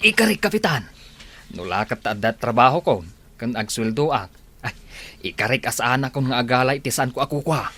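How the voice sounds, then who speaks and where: A man's voice: medium pitch, lively intonation, a little fast, sharp tone. A man with an Ilocano Filipino accent playing a sheepish, pleading jobless petty thief in a radio comedy drama. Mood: contrite, eager.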